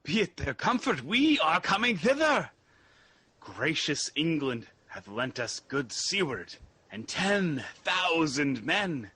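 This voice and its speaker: A man playing an earnest exiled prince in a Shakespearean radio drama, declaiming verse with a husky voice. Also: a little high, very animated, pushed and bright, dry recording, heavily acted. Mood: hopeful. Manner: deliberate, declamatory verse delivery. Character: Malcolm, earnest exiled Scottish prince rallying for war.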